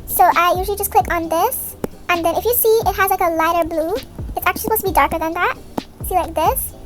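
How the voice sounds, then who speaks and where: A woman's voice: very high voice, quick pace. A young woman giving a casual, step-by-step tutorial as a video creator in a voice-over. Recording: music behind the voice. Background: background music.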